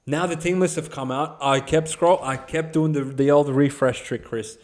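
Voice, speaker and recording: A man's voice: a little high, fairly even intonation, normal speed, a little mellow. A man with an Australian accent hosting a sports podcast, talking in casual, blunt, slangy banter with plenty of swearing.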